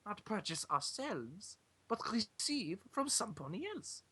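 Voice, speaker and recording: A woman puts on a royal pony sister from a storybook. The German accent is theatrical and drifts toward French, over a bright, rough-edged voice with sweeping pitch. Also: big pitch swings, unhurried, exaggerated voice. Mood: stately. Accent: mock German, slipping toward French.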